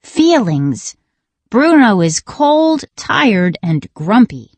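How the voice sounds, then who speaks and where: Woman narrating a kids reading lesson, scripted, slow and deliberate, really up and down pitch. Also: very animated, darkish tone, no echo. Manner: deliberate, instructional. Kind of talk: scripted educational read-along narration for children.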